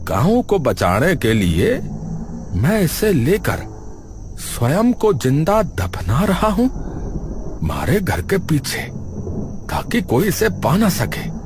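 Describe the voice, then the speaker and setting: A man's voice: a little high, wildly swooping pitch, slow pace, long pauses, heavily acted. A man voicing a proud, earnest village headman in a cartoon story, with an emphatic, dramatic manner. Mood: solemn, anguished. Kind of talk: animated cartoon story dialogue. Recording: loud background music.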